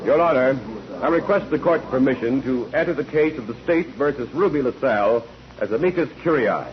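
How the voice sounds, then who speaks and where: An actor playing an earnest, courteous criminal lawyer in a 1940s radio drama, speaking in a formal, measured way. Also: male voice, medium pitch, a crowd in the background, lightly acted. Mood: earnest, persuasive. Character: forceful, earnest criminal lawyer addressing clients and judge. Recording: dull, noisy old radio recording.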